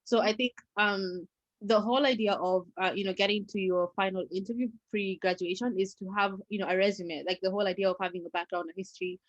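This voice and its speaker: A woman's voice: mid-range voice, steady tone, fast, no echo, dull audio. A woman giving career advice in casual panel chat, hesitantly circling toward her point.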